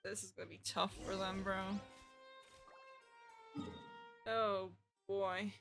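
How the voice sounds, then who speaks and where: A woman's voice: mid-range voice, flat delivery, slow, creaky, a bit bright. Woman streamer reading aloud over music. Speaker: game streamer. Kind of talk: reading game text aloud with casual reactions.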